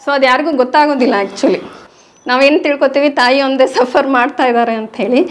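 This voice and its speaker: High-voiced teacherly woman, North Karnataka accent. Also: fairly even intonation, a little fast, a little hiss or hum. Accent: North Karnataka Kannada. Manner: patient, teacherly, mixing in English words. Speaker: interview guest, prenatal course teacher. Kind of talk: explanatory interview talk.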